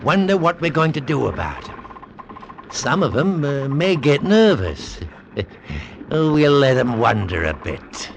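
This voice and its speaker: Creaky, scheming ship's mate. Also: male voice, on the high side, big pitch swings, a little slow, exaggerated voice. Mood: sly, gloating. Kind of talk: old-time radio drama acting. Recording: noisy old radio recording, ship ambience.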